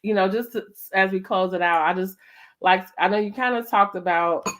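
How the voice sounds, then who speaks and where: A woman's voice: mid-range voice, moderate pace, fairly bright tone. A woman with an American accent hosting a casual interview, talking conversationally with lots of "like" and "you know". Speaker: host of a family storytime interview.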